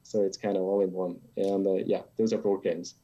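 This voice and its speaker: A middle-aged man from Switzerland answering casually as an interview guest, halting and repeating words as he thinks. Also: low-pitched, flat delivery, mellow. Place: home office that doubles as playroom. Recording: dull, lo-fi video call audio.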